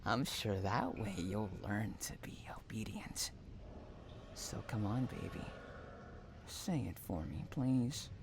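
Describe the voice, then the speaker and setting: A man playing an obsessive, unhinged stalker and kidnapper in an intimate audio roleplay, taunting and swinging between soft coaxing and menace. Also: lowish voice, expressive, slow pace, vocal fry, background noise, exaggerated voice. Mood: coaxing, threatening.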